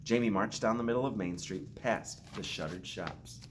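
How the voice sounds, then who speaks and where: A man's voice: fairly low pitch, moderate pace, background noise. A man reading a children's storybook aloud expressively, with playful asides. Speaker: school staff member reading aloud to children.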